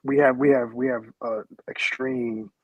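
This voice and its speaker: A man on a talk show speaking earnestly and quickly about family health history. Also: very high-pitched, very animated, vocal fry, muffled recording. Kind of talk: casual talk.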